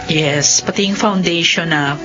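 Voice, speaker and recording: An actress playing a lively, teasing and generous old school friend in a Filipino radio drama. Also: female voice, fairly low pitch, unhurried, natural voice. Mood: warm, generous. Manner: animated, teasing. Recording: muffled radio drama recording, music.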